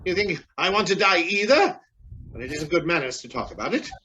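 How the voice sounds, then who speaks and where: A man acting a crotchety, outspoken old gentleman, formal and pompous, in a radio drama. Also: high voice, lively intonation, fairly quick, lo-fi sound. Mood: scolding. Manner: blustering, pompous.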